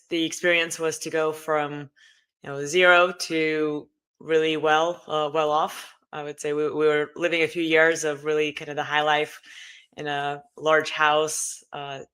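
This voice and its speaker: 带俄罗斯口音的中年女性嘉宾，声音明亮清晰. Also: female voice, low-pitched, little pitch movement, measured pace, a bit bright, clean tone. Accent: Russian. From Russia. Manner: hesitant, many fillers. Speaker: guest. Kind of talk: casual interview chat.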